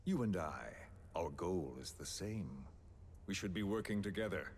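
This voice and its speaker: A menacing, philosophical game villain with a very low voice. He talks slowly and deliberately, condescending and theatrical as he coerces his captive. Faint noise sits behind the voice. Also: expressive, darkish tone. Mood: smug, persuasive. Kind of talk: dramatic video game voice acting.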